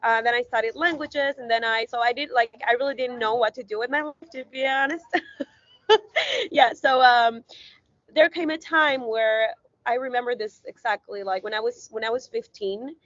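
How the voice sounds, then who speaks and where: A woman from Ecuador talks earnestly and at length, stringing thoughts together with frequent fillers. Her voice sits a little high. The sound is dry and dull, like a lo-fi video call. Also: normal speed, fairly bright tone, no echo. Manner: rambling, earnest, many fillers. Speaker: guest, visibility strategist. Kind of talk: casual interview talk.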